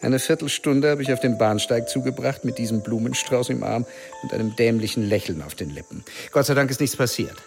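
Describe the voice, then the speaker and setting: An actor playing a charming, flighty middle-aged father and ladies' man, theatrical and expressive, in a radio play. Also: medium pitch, a little fast, vocal fry, over music, lightly acted. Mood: exclaiming. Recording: noisy outdoor ambience behind voice.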